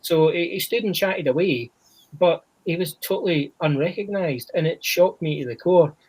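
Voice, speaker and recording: A Scottish man talking boxing on a podcast, in a fairly high-pitched voice for a man. He rambles casually and restarts often, dropping "you know" every few words. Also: moderate pace, darkish tone, slightly rough, rough recording. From Scotland. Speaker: boxing podcast panelist named Chris. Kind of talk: casual chat.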